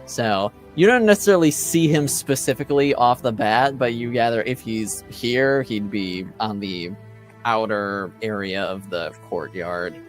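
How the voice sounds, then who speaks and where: A man's voice: lowish voice, a little slow, soft background music. Hesitant man running a tabletop game. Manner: hesitant, lots of ums and uhs. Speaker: game master.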